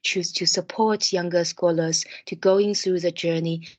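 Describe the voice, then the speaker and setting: A woman's voice: fairly low pitch, fairly even intonation, measured pace, a little mellow. A woman co-hosting an academic podcast interview, speaking in an encouraging, appreciative way. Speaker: podcast co-host.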